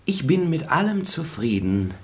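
A man's voice: a little high, very animated, measured pace, a little mellow. A man acting an impudent, grumbling servant in a radio play. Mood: cheeky. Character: cheeky, grumbling male servant.

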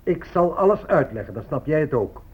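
Patronizing official, slightly high voice, condescending, radio drama, dull lo-fi sound. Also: male voice, big pitch swings, brisk, slightly rough, full character voice. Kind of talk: Dutch radio play acting.

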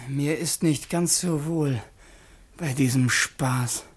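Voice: mid-range voice, takes it slowly, music in the background, natural voice. A performer playing a nimble, wily servant, deferential yet doubting, in a classic radio drama. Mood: doubtful. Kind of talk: classic German radio play acting.

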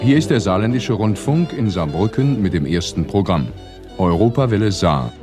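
A man's voice: on the low side, animated, moderate pace. A man making formal station announcements in the style of an old radio broadcast. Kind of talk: vintage station identification announcing. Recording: archive radio clip with loud music. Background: loud music.

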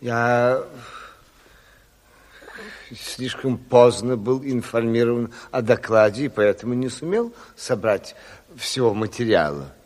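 An actor playing a flustered, long-winded educated health official, hesitant and pleading, in a Soviet radio play. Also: male voice, mid-range voice, slow, darkish tone, natural voice. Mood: grave, alarmed. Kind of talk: 1970s Soviet radio drama acting. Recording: reverberant hall, old radio play recording.

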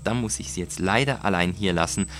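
A man voicing a coldly polite, mocking avenger in a dramatic audiobook, deliberate and menacing. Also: low voice, a bit bright, soft background music. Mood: mock courtesy. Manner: mock-courteous, deliberate.

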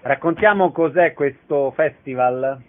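A man's voice: on the high side, a little slow. A man hosting a radio interview, presenting guests and putting questions to them. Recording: thin phone-like radio sound.

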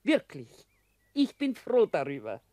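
Slow, homely Bavarian widow. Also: female voice, medium pitch, wildly swooping pitch, mellow, dry recording. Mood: plain, wistful. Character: homely Bavarian small-town widow, plain and chatty. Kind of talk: radio drama dialogue.

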